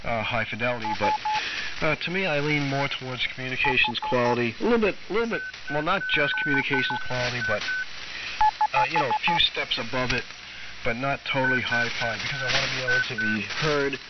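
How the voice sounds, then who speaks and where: An American man, an amateur radio operator, chatting casually over an AM shortwave link, halting and often repeating himself. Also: medium pitch, far from the mic. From United States. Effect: AM shortwave radio transmission. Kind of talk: casual on-air radio chat. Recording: muffled AM radio, loud static and whistles. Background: loud radio static and tones.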